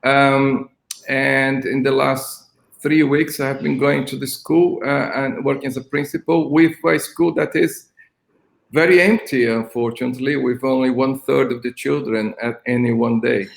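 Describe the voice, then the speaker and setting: An older man from Brazil, a school principal, speaking haltingly with many ums on a video call from his flat. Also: mid-range voice, creaky, reverberant, low-quality recording. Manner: hesitant, many ums and restarts. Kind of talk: online interview. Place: flat at home.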